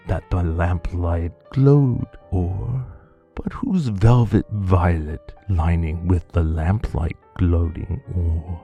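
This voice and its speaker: A man voice actor giving a theatrical poetry reading, swinging between hushed murmurs and anguished cries. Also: lowish voice, husky. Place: home studio.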